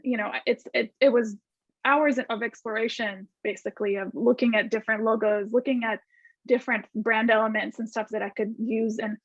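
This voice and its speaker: A woman's voice: a little high, fairly even intonation, normal speed, no room sound. A woman from Argentina, a guest designer, answering student questions in a chatty way with many restarts and fillers. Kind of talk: casual video call Q&A. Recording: dull lo-fi call audio.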